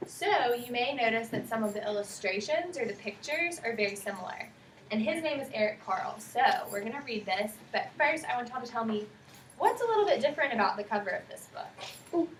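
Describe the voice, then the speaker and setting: A woman with a high-pitched voice leads an interactive picture-book reading for small children. She asks simple questions and affirms the answers. The recording is roomy and distant, with clear noise behind her. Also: lively intonation, a little fast. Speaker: student teacher reading aloud to kindergarten children. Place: echoey indoor room with children. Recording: roomy, noisy, distant microphone.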